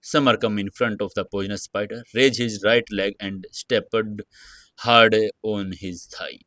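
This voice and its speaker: A man narrating an audio story in a dramatic scripted style, with a halting delivery that stumbles over words. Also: fairly low pitch, fairly bright tone, dry recording.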